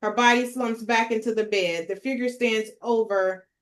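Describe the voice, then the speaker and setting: A woman's voice: a little high, fairly even intonation, normal speed. A woman narrating screenplay stage directions as she leads a table read.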